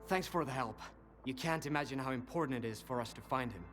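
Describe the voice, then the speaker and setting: A man's voice: medium pitch, quick pace, a bit bright, soft background music, natural voice. An actor playing a cynical, worldly male informant in a video game, talkative and sardonic, with an insinuating conversational manner. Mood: wry, resigned. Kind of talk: video game dialogue acting.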